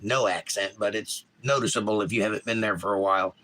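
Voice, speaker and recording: A man's voice: fairly low pitch, fairly even intonation, quick pace, sharp tone. A man with a Georgia accent hosting a casual live stream, rambling and reading chat comments aloud from a home setup.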